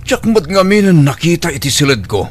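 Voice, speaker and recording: A man's voice: on the high side, very animated, a little fast. A man acting in an Ilocano radio drama as an insistent, suspicious older father, with an Ilocano accent. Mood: worried, insistent. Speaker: drama actor.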